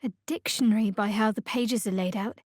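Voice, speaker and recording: A woman's voice: medium pitch, steady tone, a little fast, no room sound, clean studio sound, natural voice. A woman playing a guarded, sardonic young female cleric in a fantasy video game, speaking in a cool, wry, clipped manner.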